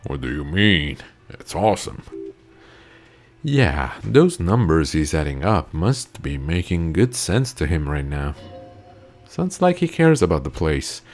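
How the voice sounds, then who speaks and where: A man's voice: low voice, sing-song, measured pace, soft background music. A man whose first language is Italian, reading game dialogue aloud in a let's play, playful and swearing casually. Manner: playful, laughs at his own lines, swears casually. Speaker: gamer.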